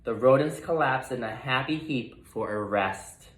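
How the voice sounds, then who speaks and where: Man, children's read-aloud, expressive narration, unhurried slow pace, mid-pitched, echoing room. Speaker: storybook reader.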